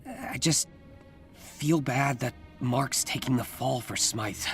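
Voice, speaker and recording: A man's voice: mid-range voice, slow pace, soft background music. An actor playing an earnest, anxious young male superhero in a video game, hesitant and a little awkward. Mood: troubled. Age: young adult. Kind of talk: naturalistic video game voice acting.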